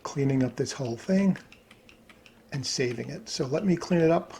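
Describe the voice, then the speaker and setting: Gravelly man narrating a tutorial. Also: medium pitch, normal speed. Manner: thinks aloud while working at computer. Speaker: hobbyist presenter. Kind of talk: casual screen-recorded tutorial narration. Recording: steady background noise, keyboard clicks.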